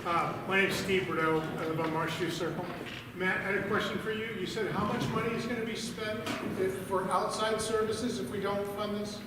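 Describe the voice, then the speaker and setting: A man's voice: high voice, steady tone, a little fast. A man speaking as a resident at a school board meeting, argumentative and pressing the board with questions. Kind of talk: public comment. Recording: echoey hall from far off, noisy.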